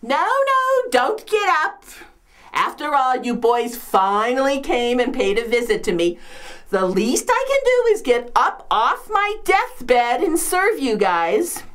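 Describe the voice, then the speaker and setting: A woman's voice: medium pitch, sing-song, slow pace. Theatrical old New York mother-in-law, acted by a woman. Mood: martyred sarcasm. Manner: theatrical, complaining, martyred, swooping delivery. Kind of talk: comedic dramatic monologue, performed.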